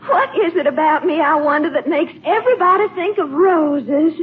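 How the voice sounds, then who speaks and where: A woman's voice: high voice, natural voice. A woman playing a coy, flirtatious belle with a Southern US accent in a 1940s radio comedy, gushing and drawing out her words. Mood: coy, flattered. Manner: breathless gushing, drawn-out words. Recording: tinny 1940s radio recording with hiss.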